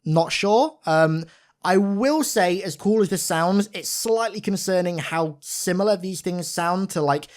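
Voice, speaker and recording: A man giving an informal, enthusiastic gameplay breakdown as a gaming commentator, talking like an excited fan. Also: sits high, a little slow.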